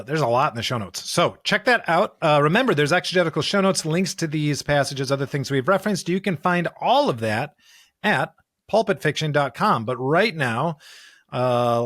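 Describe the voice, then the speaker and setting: A man's voice: on the high side, animated, moderate pace, fairly bright tone, no echo, clean studio sound. A man co-hosting a podcast, talking through scripture in an earnest, emphatic, conversational way. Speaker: podcast co-host.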